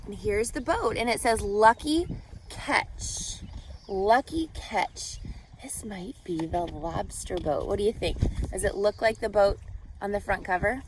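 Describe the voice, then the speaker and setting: A woman reading a picture book aloud as a teacher to children, expressive and carefully phrased, pausing to put questions to her listeners. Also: mid-range voice, slight background noise.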